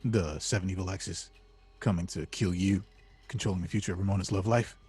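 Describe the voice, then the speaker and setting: Man playing a cocky, husky-voiced movie star bro. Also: sits low, steady tone, fairly quick, a little mellow. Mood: menacing. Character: cocky, laid-back young male action movie star villain. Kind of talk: table read of a comedy film script.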